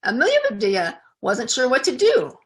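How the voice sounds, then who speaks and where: A woman storyteller narrating a children's book aloud in an expressive, deliberate way. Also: high voice.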